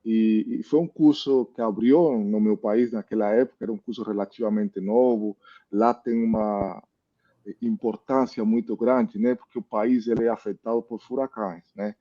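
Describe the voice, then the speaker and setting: Cuban man, dark, muffled voice. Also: fairly low pitch, steady tone, moderate pace. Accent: Cuban Spanish. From Cuba. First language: Spanish. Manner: thoughtful, many "né?" tags. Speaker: meteorologist guest. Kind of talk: casual interview.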